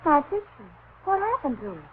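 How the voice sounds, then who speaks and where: Lively, coy radio temptress. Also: female voice, very high-pitched, fairly quick. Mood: teasing, coy. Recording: muffled, faintly noisy old radio recording.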